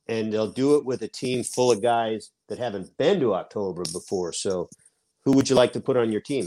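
A man's voice: lowish voice, darkish tone, rough recording. A middle-aged man from Seattle, a baseball writer on a sports talk podcast, making his case emphatically and at length. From Seattle, USA. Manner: emphatic, argues a point at length.

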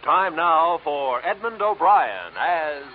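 A man's voice: on the high side, sing-song, slow, slight background noise. A man with an American accent announcing a 1950s radio show in a grand, punchy, emphatic manner. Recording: tinny old radio, loud music.

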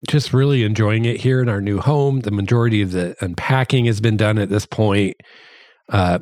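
Casting: male solo podcaster, a bit hoarse, lowish voice, dry close-mic sound, easygoing and rambling. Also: dry recording. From American Midwest. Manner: relaxed, chatty, with frequent fillers and repeated words. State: slightly hoarse from allergies. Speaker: podcast host. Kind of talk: casual solo podcast monologue.

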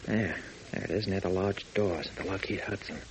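An actor playing a calm, decisive young pilot hero in a 1940s radio drama, giving firm, clipped orders. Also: male voice, low-pitched, a little fast, lightly acted, rough recording. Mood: urgent. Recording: hissy old radio broadcast.

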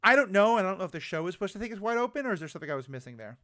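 Male podcast co-host, high voice, rambling, lots of "like". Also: sing-song, very fast, sharp tone. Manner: rambling, full of fillers. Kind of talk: casual chat.

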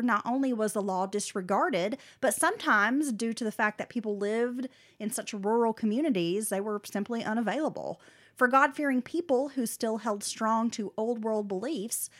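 A woman co-hosting a podcast, reading a prepared history story with casual, slangy asides. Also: fairly high pitch. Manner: animated, drops into slangy asides. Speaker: podcast co-host telling the story.

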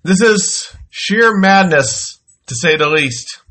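A man hosting his own show, telling a comic story in a slow, wry deadpan with exasperated outbursts while congested from a head cold. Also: sits high. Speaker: podcast host. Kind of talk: comic monologue. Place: home studio.

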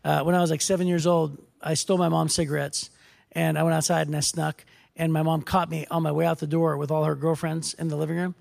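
A man with a raspy voice, a teaching pastor co-hosting a casual devotional podcast, telling stories with jokes. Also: fairly high pitch, little pitch movement, quick pace, darkish tone, long reverb tail. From United States. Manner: storytelling, jokey.

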